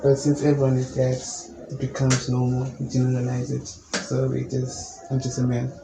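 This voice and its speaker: A young man with a low, gravelly voice speaks in an even, flat tone. He answers calmly and at length, as a musician being interviewed. The room echoes, and a steady noise sits behind him. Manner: calm, measured, explains at length. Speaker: musician guest.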